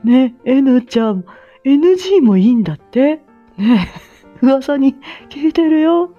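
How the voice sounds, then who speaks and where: A woman's voice: high voice, sing-song, slow pace, dull audio, soft background music, voice pushed up, heavily acted. A woman voicing a sleepy, easygoing old grandpa who rambles chattily in a livestream. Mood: sleepy, friendly. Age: older.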